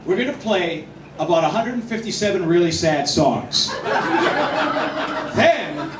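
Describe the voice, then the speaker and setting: Boston-accented comedian, high lively voice. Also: male voice, unhurried. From Boston, Massachusetts. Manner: wry, self-deprecating, punchy delivery with loud mock announcer shouts. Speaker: stand-up comedian. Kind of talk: stand-up comedy set. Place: Mexican restaurant, indoors. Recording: echoey room, distant mic, audience noise.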